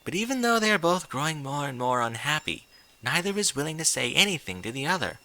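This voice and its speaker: Man voicing a dainty, high-pitched refined lady pony. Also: very animated, fairly quick, sharp tone, heavily acted, poor audio. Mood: grave, dramatic. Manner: genteel, formal, theatrical diction. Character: refined, dainty young female pony, gently prodding and dramatic.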